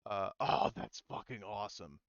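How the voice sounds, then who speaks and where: Smooth-voiced man running a tabletop game. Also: lowish voice, expressive, normal speed, dry recording, dull audio. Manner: hesitant, thinking aloud, informal swearing. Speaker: game master. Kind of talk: casual tabletop game refereeing, explaining rules.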